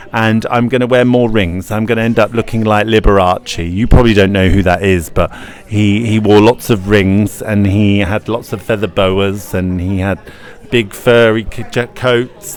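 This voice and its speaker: Chatty man with a lowish voice. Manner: chatty, playful, encouraging. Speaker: pantomime actor being interviewed. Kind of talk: casual interview chat.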